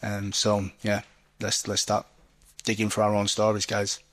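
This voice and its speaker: A man speaking as an author on a festival panel, thinking aloud reflectively and often pausing with fillers. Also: fairly low pitch, monotone. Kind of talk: panel discussion.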